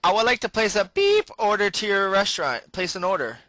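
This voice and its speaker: A man putting on a bumbling prank caller who speaks haltingly in broken sentences with interjected beeps. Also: sits high, lively intonation, pushed and bright, dry recording. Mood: eager, halting. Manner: halting, broken grammar, interjects the word beep. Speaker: prank caller placing a food order. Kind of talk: improvised prank phone call.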